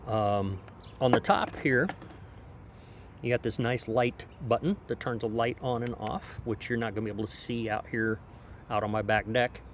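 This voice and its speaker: A man talks through a product hands-on in a casual, explanatory style. His pitch sits in a middle range for a man. He is recorded outside on a deck, with light ambient noise behind him. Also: fairly even intonation, moderate pace. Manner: informal, explanatory. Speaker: product reviewer. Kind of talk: casual hands-on gadget review. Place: outdoors on a back deck. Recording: outdoor background noise.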